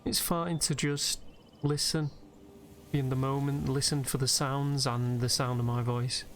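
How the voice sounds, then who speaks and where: A man narrating a guided meditation over gameplay in a gentle, unhurried, soothing way with pauses. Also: medium pitch, flat delivery, a little hiss or hum. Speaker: guided relaxation host and gamer. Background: soft background music from a video game.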